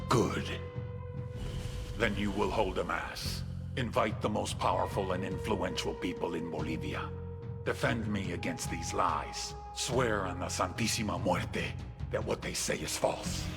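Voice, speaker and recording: A proud, menacing crime lord with a very low, gravelly voice. He speaks with grave authority, as in a dramatic game narration, brooding and commanding over clear background music. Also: a little slow. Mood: stern, demanding. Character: brooding, menacing, proud male cartel boss. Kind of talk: dramatic scripted narration and dialogue.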